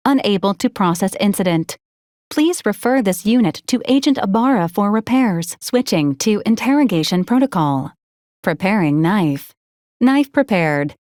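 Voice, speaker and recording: A woman's voice: medium pitch, darkish tone, dry recording, clean studio sound, natural voice. An actress playing a dutiful female robot combat assistant in a video game, with a formal, clipped, clinical and coldly polite delivery. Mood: deadpan, coldly menacing. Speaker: robotic companion to a commander. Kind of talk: scripted video game character lines.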